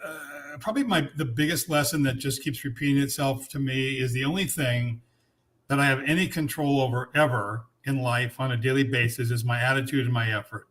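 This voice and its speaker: A man's voice: mid-range voice, fairly even intonation, fairly quick, a bit bright. A man in his seventies, a podcast guest who tells his life story in an easy, reflective way with many fillers. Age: 70s. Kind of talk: casual chat.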